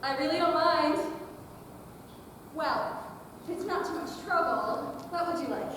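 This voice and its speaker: Young woman acting a high-pitched assistant, echoey hall. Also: expressive, unhurried. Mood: dutiful, apologetic. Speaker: student actor. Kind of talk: high school stage comedy acting. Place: large hall, stage performance. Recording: echoey hall, distant mic, noisy.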